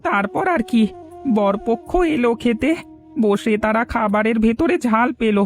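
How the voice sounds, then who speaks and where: Actor doing wronged old cook man from a cartoon, humble n pleading, high for a man, music behind. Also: animated, a little slow, natural voice. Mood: pleading, earnest. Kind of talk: Bengali cartoon voice acting.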